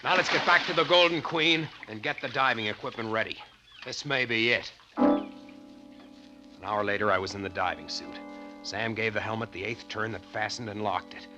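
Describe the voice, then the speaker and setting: Earnest radio-drama diver over loud music, played by a man. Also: fairly low pitch, lightly acted. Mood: eager. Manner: dramatic, deliberate storytelling. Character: earnest treasure-hunting diver recounting his eerie adventure. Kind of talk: 1940s radio suspense narration and acting.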